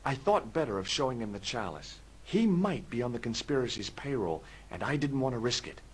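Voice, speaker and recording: A man's voice: medium pitch, very animated, fairly quick, breathy edge, rough recording. An actor playing a wisecracking young American man adventurer who narrates in a dry, joking way. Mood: narrating. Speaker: game narrator and hero. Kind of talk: game voice acting and narration.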